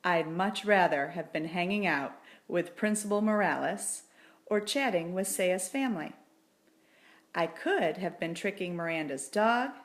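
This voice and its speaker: A woman narrating a children's story from a script as an audiobook reader. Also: on the low side.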